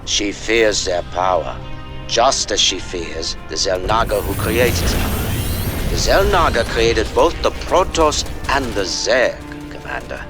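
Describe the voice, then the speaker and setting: A learned scientist in a science fiction game. He is formal and composed with a dry, superior manner, his pitch moving expressively over a music score. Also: lively intonation, slow pace. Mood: grave. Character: composed, learned male scientist advising a commander. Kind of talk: video game dialogue.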